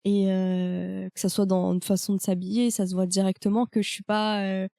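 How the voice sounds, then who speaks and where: A woman's voice: medium pitch, little pitch movement, normal speed, creaky, mellow, no room sound, studio quality. A young woman from France with a French accent, a guest talking casually with many hesitations and fillers. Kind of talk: casual conversation.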